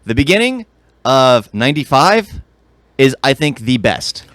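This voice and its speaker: A man's voice: medium pitch, sing-song, unhurried, fairly bright tone, dry recording. A man co-hosting a podcast, talking conversationally and informally about movies. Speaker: podcast co-host. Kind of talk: casual movie chat, conversational.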